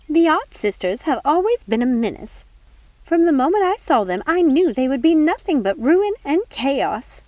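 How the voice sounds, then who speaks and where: A woman's voice: high-pitched, big pitch swings, moderate pace, a little mellow, dry recording, voice pushed up. A woman voicing a prim, bossy old fairy godmother in a storybook, indignant, theatrical and officious.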